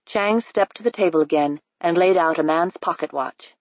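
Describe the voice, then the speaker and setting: Woman narrating an audiobook, low and dry. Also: moderate pace, no echo, highs cut off. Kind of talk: scripted narration.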